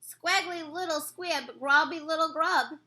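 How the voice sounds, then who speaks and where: A woman voicing rough, bullying male giants in a children's storybook, shouting taunts in broken grammar. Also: high-pitched, unhurried, voice pushed up. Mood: jeering. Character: flesh-eating giants, rough male bullies, jeering and shouting. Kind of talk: children's storybook read-aloud.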